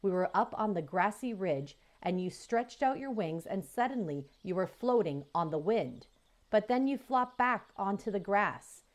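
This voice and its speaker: A woman voicing a calm, gentle female robot who is a mother. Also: mid-range voice, a little slow, lightly acted. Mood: tender.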